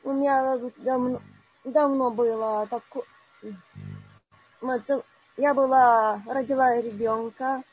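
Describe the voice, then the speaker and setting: Husky woman in her 40s calling in, slow and halting, dark lo-fi sound. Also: high voice, steady tone. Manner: halting, many broken-off words and restarts. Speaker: caller. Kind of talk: telling a personal story in a live online Q&A.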